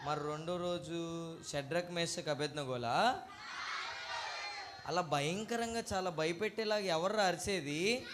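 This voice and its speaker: A man's voice: a little high, measured pace, pauses often. A man hosting a church children's puppet show, exclamatory and calling out questions to the children. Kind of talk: lively talk with children and a puppet. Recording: noisy live event with crowd. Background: children's crowd and loud noise.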